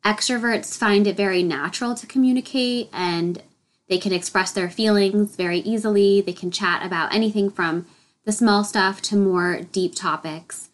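A woman's voice: medium pitch, normal speed. A woman hosting her solo podcast, a little nasal and congested from a head cold, explaining a topic in an upbeat way. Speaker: podcast host.

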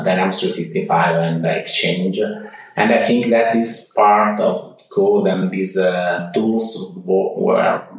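A man's voice: deep voice, very echoey, far from the mic, rough recording. A man with an Italian accent speaking English as a second language, answering interview questions hesitantly with many fillers and restarts. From Italy. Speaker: guest. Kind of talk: casual interview. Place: home in Switzerland.

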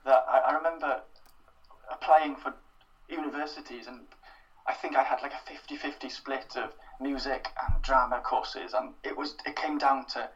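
A man's voice: mid-range voice, fairly even intonation, moderate pace, distant mic, highs cut off. A young Welsh man answering interview questions in a thoughtful, self-deprecating way, with plenty of fillers and restarts. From Wales. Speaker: interview guest, writer. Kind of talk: casual interview.

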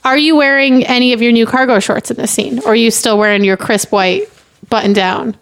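A woman's voice: a little high, brisk, clean tone. A woman reading script directions and bantering as a comedy guest, with an exasperated, questioning manner.

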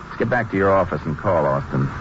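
An actor playing a calm, matter-of-fact Texas Ranger who investigates and narrates in a 1950s radio drama. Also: male voice, low-pitched, quick pace, heavy background noise. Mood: steady, probing. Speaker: lead actor and first-person narrator. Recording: muffled old radio recording.

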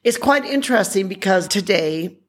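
A woman's voice: medium pitch, lively intonation, moderate pace. American woman explaining in a conversational way. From United States. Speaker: podcast host. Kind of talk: informal explanatory podcast monologue.

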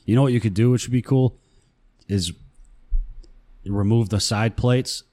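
A man's voice: fairly low pitch, fairly even intonation, measured pace, dark tone. A man co-hosting a casual talk show, easygoing and often agreeing, with casual swearing. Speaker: co-host. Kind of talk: casual chat.

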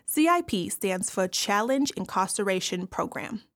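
A woman's voice: medium pitch, expressive, moderate pace, natural voice. An actress playing a woman inmate who describes prison life in a wry, matter-of-fact way. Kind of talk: audio play monologue.

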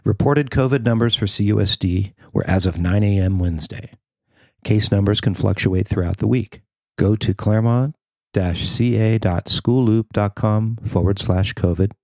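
A man's voice: fairly low pitch, darkish tone, no room sound. An American man reading a scripted news story as a podcast host and reporter.

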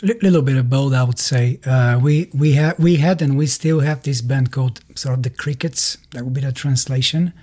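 Mellow-voiced middle-aged Bulgarian man. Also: mid-range voice. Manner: halting, searching for words in non-native English. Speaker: musician being interviewed. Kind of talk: casual interview, personal storytelling.

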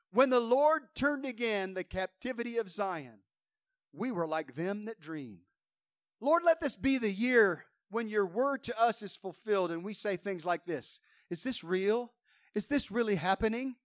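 Man, pastor, fervent sermon, high voice, slightly hoarse, dry close sound. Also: no room sound. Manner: fervent preaching, quoting scripture with rising emphasis.

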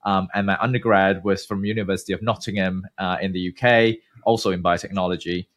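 A man in his thirties from Malaysia, a guest researcher giving measured, explanatory interview answers with frequent pauses. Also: sits low, steady tone, a bit bright.

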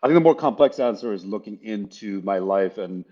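Low, husky middle-aged man, dull recording. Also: expressive, brisk. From Bangladesh, then Texas. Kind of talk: thoughtful interview talk. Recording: dull, lo-fi sound.

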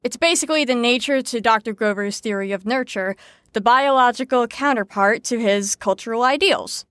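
Actress as a high-voiced, sardonic young group leader. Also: female voice, moderate pace, a bit bright, no echo, clean studio sound, lightly acted. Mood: dry, lecturing. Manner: sarcastic, weary, lecturing. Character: exasperated, sardonic young woman leading a group lesson. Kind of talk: audio drama acting.

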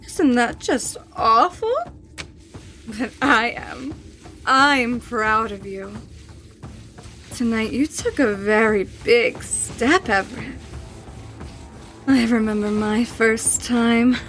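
An actress plays a sinister, seductive female spirit in a horror audio drama. She speaks extremely slowly in a breathy, knowing voice. Faint music sits behind her coaxing words. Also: fairly high pitch, a bit bright. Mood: seductive, menacing.

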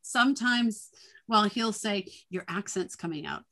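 A woman's voice: medium pitch, lively intonation, a little slow, fairly bright tone. Guest: middle-aged woman, New England accent, chatty, laughs a lot. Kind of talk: casual conversation.

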